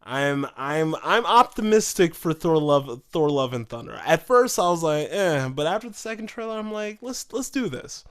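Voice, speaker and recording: Lively-pitched man in casual chat. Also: moderate pace, hardly any pauses. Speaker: podcast co-host.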